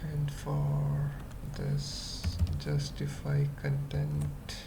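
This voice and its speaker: A tutorial presenter thinking aloud in halting, murmured fragments during an informal coding screencast. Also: medium pitch, sing-song, creaky, music in the background. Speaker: web development tutorial presenter.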